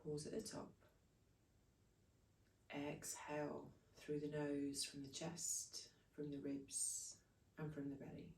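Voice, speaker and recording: Low, mellow woman guiding meditation, echoey and distant. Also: monotone, vocal fry. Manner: soothing, unhurried instruction in short phrases. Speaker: meditation and breathwork teacher. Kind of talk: guided breathing meditation. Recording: echoey room, distant from microphone.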